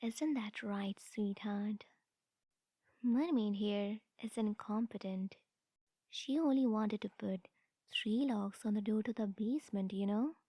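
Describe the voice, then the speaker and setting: A woman playing a possessive, scheming yandere sister in a comedic ASMR audio roleplay, breathy and often near a whisper, with a haughty, condescending sweetness. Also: medium pitch, mellow, dry recording. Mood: smug, possessive. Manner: haughty, deliberate, cooing to the listener.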